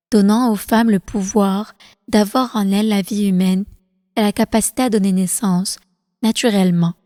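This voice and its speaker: A woman guides a meditation in a soft, mellow voice at a medium pitch. Her phrasing is calm, slow and deliberate, soothing the listener as an instructor would. The voice carries a long reverb tail. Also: clean studio sound. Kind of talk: guided prenatal meditation, yoga nidra.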